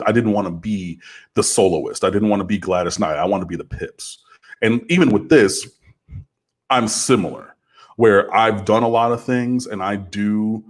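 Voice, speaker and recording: A man with a big, fairly low voice speaks on a podcast in casual talk. He is earnest and builds to a forceful, impassioned delivery.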